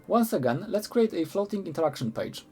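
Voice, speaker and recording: Male tutorial narrator, slightly high voice, very mellow tone, measured scripted delivery over background music. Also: very animated.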